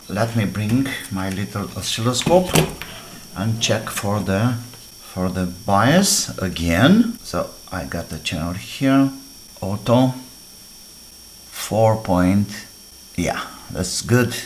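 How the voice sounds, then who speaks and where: A man with a fairly low voice and talks very slowly through a hands-on electronics repair. He speaks in short, halting phrases, thinking aloud as he works. There is faint noise in the background. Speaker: DIY electronics repair presenter. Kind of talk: hands-on repair demonstration, talking while working.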